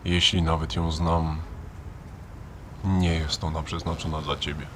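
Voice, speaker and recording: An actor playing a stern otherworldly plague doctor in an audio drama, speaking calmly, coldly and with formal sarcasm. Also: male voice, rumbling low, measured pace, slight background noise. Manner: cold, calm, sardonic, formal. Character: stern, sardonic male epidemic doctor, otherworldly grim guide. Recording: loud music behind the voice.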